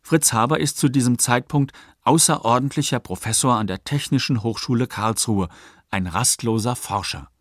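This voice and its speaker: A man narrating a scripted documentary in a factual, measured way. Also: mid-range voice, no room sound, clean studio sound. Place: dry studio.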